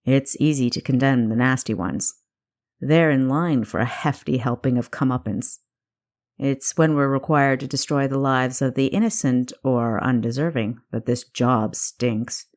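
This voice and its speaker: A seasoned spymaster , voiced by a woman narrator, speaks in a low register. He is a dry, teasing mentor who measures out his words in audiobook dialogue. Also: a little slow, a little mellow. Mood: wry, measured.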